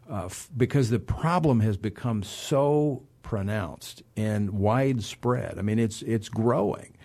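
Man, radio host, lowish voice, halting, lots of restarts. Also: a little mellow. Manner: halting, many fillers and restarts. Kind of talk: radio interview.

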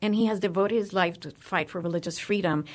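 A woman's voice: lowish voice, brisk. A woman with a Cuban American accent, speaking passionately as a guest in a radio interview. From United States. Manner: passionate, emphatic. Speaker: guest, religious freedom advocate.

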